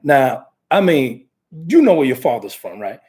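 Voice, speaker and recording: A man's voice: mid-range voice, very animated, moderate pace, a little mellow. Rambling conversational American man. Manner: rambling, conversational, many fillers and restarts. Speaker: talk show host, ordained minister. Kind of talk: casual talk show chat.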